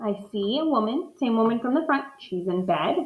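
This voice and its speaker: Woman teacher, read-aloud lesson, talks through her inferences; higher voice, roomy echo, muffled sound. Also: lively intonation, moderate pace. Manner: thinks aloud, modeling inferences for students.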